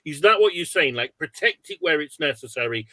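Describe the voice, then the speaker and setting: A man's voice: on the high side, animated, fairly quick, bright, forceful tone, no room sound. A man hosting a webinar, asking informal questions and checking his understanding with worked examples. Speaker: webinar host, interviewer.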